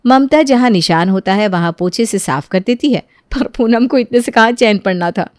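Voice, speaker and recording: A woman narrating a scripted moral story as an expressive storyteller. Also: medium pitch, normal speed, dry recording, clean studio sound.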